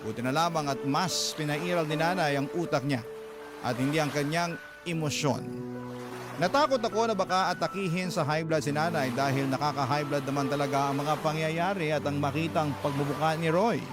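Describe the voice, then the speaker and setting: A Filipino man tells a first-person story as a radio drama narrator. His voice is on the higher side, earnest and sentimental. Music plays clearly underneath. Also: brisk. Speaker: radio drama narrator reading a listener's letter. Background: music bed.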